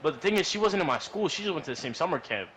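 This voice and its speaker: A man rambles through a casual, profane story, slangy and animated, often repeating himself. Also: fairly high pitch, racing. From New York. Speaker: podcast storyteller. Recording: noisy room recording.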